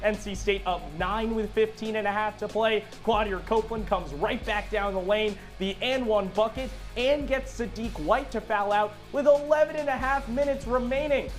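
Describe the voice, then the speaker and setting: A man's voice: sits high, moderate pace, hardly any pauses, soft background music. A man working as a sports reporter and announcer, delivering game news and commentary in a punchy, emphatic way.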